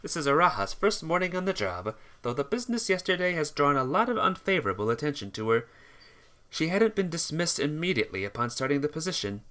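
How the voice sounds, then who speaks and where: Bright, animated male amateur narrator. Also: on the high side, fast. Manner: stumbles over words and rereads phrases. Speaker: narrator reading a visual novel aloud. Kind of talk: amateur read-aloud narration.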